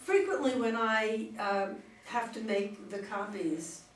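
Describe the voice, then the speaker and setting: A woman's voice: mid-range voice, takes it slowly. A woman talking casually as the organizer of a play-reading group discussion. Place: indoor room at a group meeting. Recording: roomy, distant mic with background noise.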